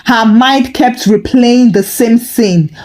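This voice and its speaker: A woman narrating a scripted dramatic story in a deliberate, expressive storytelling manner. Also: fairly high pitch.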